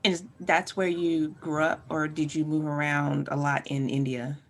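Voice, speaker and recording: Deep gravelly woman interviewer. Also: little pitch movement, unhurried, a little hiss or hum. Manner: brief, direct questions. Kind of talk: interview questions.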